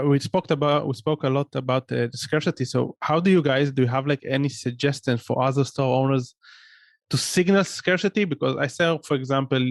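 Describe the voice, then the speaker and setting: A man's voice: mid-range voice, no room sound. A man hosting an interview, asking questions with many fillers and hesitations. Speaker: podcast host, interviewer. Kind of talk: casual interview.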